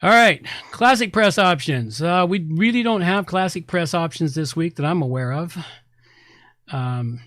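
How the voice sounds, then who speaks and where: A man's voice: on the high side, expressive, unhurried, a bit bright, slight background noise. A man hosting a podcast, talking casually and informally through reviews with chatty asides. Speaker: podcast host.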